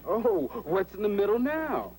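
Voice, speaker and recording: A woman's voice: medium pitch, big pitch swings, unhurried, a little mellow, faint music behind. A woman voicing a quizzing, instructive leader in a children's educational cartoon. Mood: coaxing.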